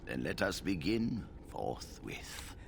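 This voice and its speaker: A man playing a grave, knowledgeable mage in a fantasy game, speaking in a measured, formal way. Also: deep voice, very animated, creaky, slight background noise, exaggerated voice. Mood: grave, cautioning.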